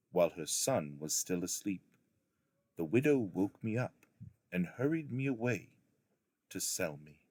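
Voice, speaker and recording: A man reading a storybook memoir aloud as a narrator, in a measured, deliberate storytelling manner. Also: sits low, darkish tone, no room sound. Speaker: audiobook reader, schoolteacher reading aloud.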